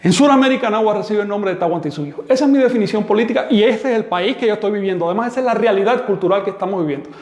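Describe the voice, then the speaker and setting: A man's voice: high voice, very fast, hardly any pauses, darkish tone. A man teaching a live online class, answering students' questions in a firm, emphatic lecturing manner. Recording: echoey room with long reverb.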